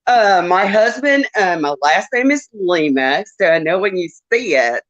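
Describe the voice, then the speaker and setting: Southern woman caller, emotional and laughing, slow and swooping delivery. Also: fairly low pitch, lively intonation, dull audio. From Southern United States. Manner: laughs often, emotional and chatty. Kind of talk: casual call-in chat.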